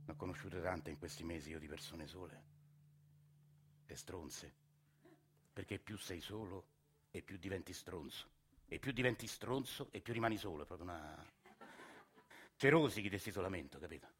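A man's voice: low voice, normal speed, pauses often, fairly bright tone, husky. An actor playing a lonely, bitter working-class man with a Roman accent, delivering a theatre monologue that swings from muttering to sarcastic outbursts. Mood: sarcastic rant. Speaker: stage actor. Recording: live stage recording over guitar. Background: guitar music.

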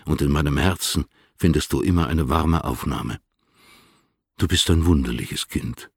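Very deep, gravelly, dignified older poet. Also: male voice, no echo, clean studio sound, full character voice. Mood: warm, apologetic. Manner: formal, measured, courtly. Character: dignified, affectionate older male poet answering letters. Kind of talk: dramatized letter reading in a radio play.

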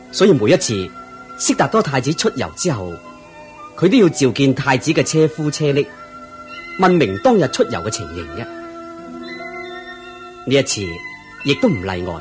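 A man reading scripted narration for a radio drama. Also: medium pitch.

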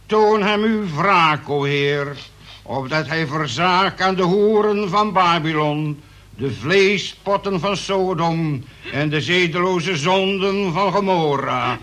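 High-pitched, slow, zealous preacher. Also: male voice, fairly bright tone. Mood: thundering, righteous. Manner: declamatory, solemn, drawn-out phrasing. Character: fire-and-brimstone male preacher, zealous, pompous, chummy between prayers. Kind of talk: radio play acting, chanted sermon-like prayer. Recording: very noisy old radio play recording.

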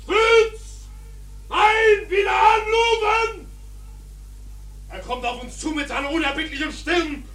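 Radio drama: panicked ship's officer, very high desperate shouting, distant and echoing amid a clamouring crew. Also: male voice, slow pace, long pauses, some chatter behind, exaggerated voice. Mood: terrified, urgent. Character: panicked whaling ship officer shouting orders. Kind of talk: German radio play acting.

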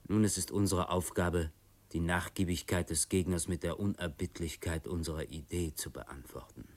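Low-voiced, stern revolutionary boss. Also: male voice, steady tone. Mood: coldly emphatic. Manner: deliberate, emphatic, commanding. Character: stern, cold male revolutionary committee boss, calculating. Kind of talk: German radio play acting.

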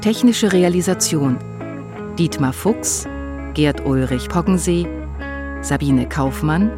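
Unhurried female announcer over guitar music. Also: deep voice, lively intonation. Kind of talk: scripted radio drama credits announcement. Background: plucked guitar music.

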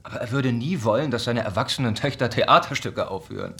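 An actor playing a principled, sober young gentleman, speaking earnestly and formally in a German radio drama. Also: mid-range voice, lively intonation, brisk. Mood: stern, disapproving.

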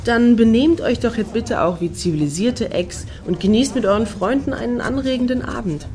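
Actress as mellow, chiding woman. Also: fairly high pitch, normal speed, lightly acted. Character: sensible, sceptical woman friend in a satirical radio play. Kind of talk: German radio play dialogue.

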